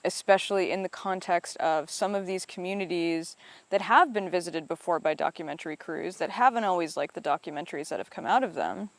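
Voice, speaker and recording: A woman's voice: fairly low pitch, a little fast, no room sound. A woman who directs and edits documentaries, talking thoughtfully about her work in an interview, often hedging with "I think" and "you know.". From upstate New York. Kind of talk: reflective interview answers.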